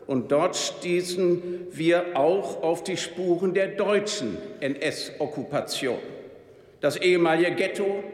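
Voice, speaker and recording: An older man solemnly reading a prepared memorial speech, slow, grave and measured. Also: high voice. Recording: echoey hall with long reverb.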